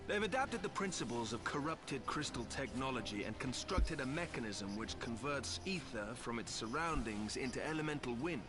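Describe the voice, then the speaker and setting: A man's voice: medium pitch, lively intonation, moderate pace, few pauses, over music, close to an everyday voice. A man playing a proud, witty veteran airship engineer in a game cutscene, eloquent and self-satisfied. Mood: proud, amused. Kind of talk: scripted game cutscene acting.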